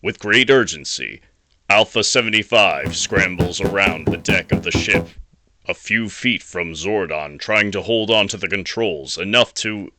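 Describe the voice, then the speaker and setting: A man's voice: low-pitched, unhurried, noisy background. A man narrating a scripted audio drama in a dramatic storytelling style.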